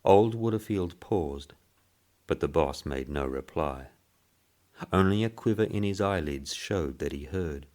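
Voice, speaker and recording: A man narrating a literary short story in a measured, deliberate way. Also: very deep voice, expressive, darkish tone. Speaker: story reader.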